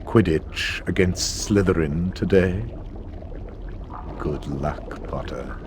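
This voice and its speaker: An actor playing a cold, condescending potions teacher with a menacing drawl in a video game. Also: male voice, sits low, slow pace, background noise. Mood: cold, sneering.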